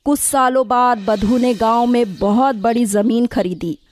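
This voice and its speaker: High-pitched woman narrating a children's story. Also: unhurried, darkish tone. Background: background music.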